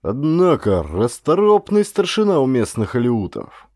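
Animated male audiobook narrator. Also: medium pitch, unhurried, no echo, clean studio sound. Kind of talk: dramatic scripted audiobook narration of historical adventure.

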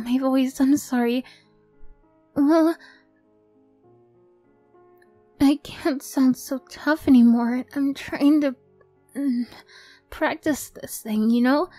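A woman acts out a young girlfriend in an ASMR roleplay, high and soft-toned. She is affectionate and chatty, with a tough streak under the sweetness. The sound is close and dry over background music. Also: dry recording. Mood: sweet, shy.

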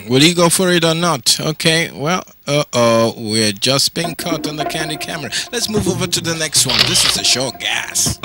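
A man's voice: fairly high pitch, expressive, moderate pace, few pauses, loud music behind the voice. A Nigerian man narrating a hidden-camera show, teasing the viewers with chuckling comic asides. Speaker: show host, voice-over narrator. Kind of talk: comic voice-over commentary.

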